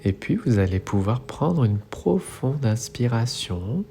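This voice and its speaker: A man leading a guided relaxation meditation as an instructor, speaking in soothing, measured phrases. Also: medium pitch, big pitch swings, gravelly. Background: soft music.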